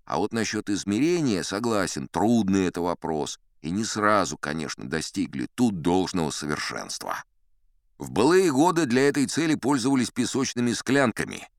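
Deep-voiced, pompous old sea captain. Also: male voice, sing-song, moderate pace, dry recording, studio quality. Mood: pompous, musing. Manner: digressive, self-assured, mock-scholarly raconteur. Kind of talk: theatrical audiobook storytelling.

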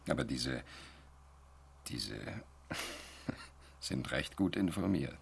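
A man's voice: very deep voice, expressive, very slow, creaky, slightly rough, heavily acted. A man playing a dry, sceptical investigator in a science fiction radio drama, terse and wary, with a sardonic edge. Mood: sceptical, brooding.

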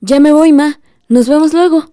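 Woman as an eager, mellow young daughter. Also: high-pitched, moderate pace, natural voice. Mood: hopeful. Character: eager young grown daughter chatting at home. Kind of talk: radio drama acting.

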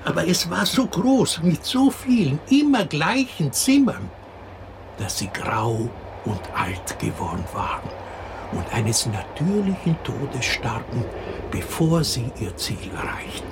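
Slow, expressive, creaky-voiced fairy-tale storyteller. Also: mid-range voice. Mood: patient storytelling. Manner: slow, patient, expressive, explaining to a child. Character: storyteller telling a child a princess fairy tale. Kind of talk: radio drama fairy-tale telling. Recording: noticeable background noise.

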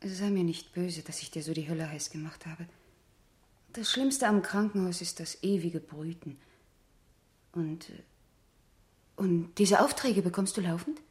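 A woman acting as a hospital patient in a 1970s German radio crime drama, concerned and gently questioning. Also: fairly low pitch, unhurried, pauses often, close to an everyday voice. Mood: apologetic, worried.

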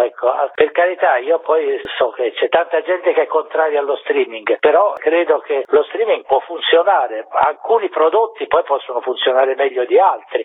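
A man's voice: fairly high pitch, breathy edge. A man speaking as a theatre director in a radio interview, informal and explanatory, over a phone. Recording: tinny, phone-like radio line.

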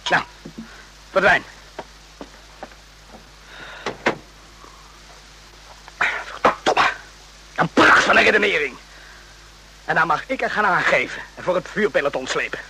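Worked up soldier in old radio drama, swingy intense delivery, swears a lot, bit of hiss. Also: male voice, fairly high pitch, animated, moderate pace, long pauses, pushed and bright, poor audio. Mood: agitated. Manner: emphatic, repeats himself, swears, fires off questions. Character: agitated, morally outraged soldier in the Vietnam War.

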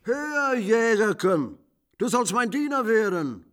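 An actor playing a blustering, jovial commandant in a radio drama, hearty and condescending in manner. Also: male voice, high-pitched, very animated, slow pace, a bit bright, full character voice. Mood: commanding. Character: blustering, jovial military commandant, amused by youngsters.

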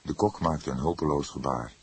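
A man reading a detective story aloud as a scripted audiobook narration, with a husky voice. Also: extremely low pitch, moderate pace, a little mellow.

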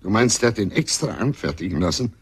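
Casting: earnest doctor sidekick for radio mystery, deep register, heavily husky, emphatic. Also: male voice, expressive, quick pace. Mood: appalled. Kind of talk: German radio drama acting.

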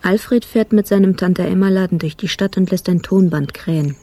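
Dark, creaky, cynical police officer. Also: male voice, high voice, normal speed, no echo. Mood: weary, bitter. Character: cynical, world-weary police colleague, impatient and blunt. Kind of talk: radio drama acting.

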